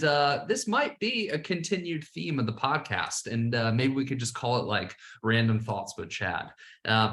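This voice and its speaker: A man's voice: mid-range voice, a bit bright, reverberant. A man hosting his own podcast as a business coach, giving a casual motivational talk, emphatic and repeating himself, a little sleepy. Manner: emphatic, repeats "all right" often.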